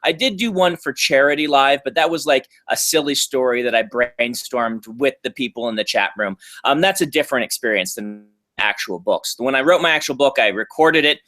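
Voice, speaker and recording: A man, an author being interviewed, giving casual answers at an even, middling pitch, thoughtful with frequent ums and restarts. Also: moderate pace.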